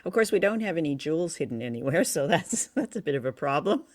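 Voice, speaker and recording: A woman's voice: low-pitched, sing-song, fast, no room sound. A woman co-hosting a radio show, chatting about old drama and often searching for her words. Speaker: radio show and podcast co-host.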